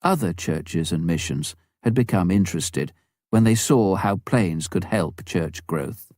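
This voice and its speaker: A man's voice: low-pitched, expressive, measured pace, a little mellow, dry recording, studio quality. A man narrating a memoir from a script, as an audiobook reader.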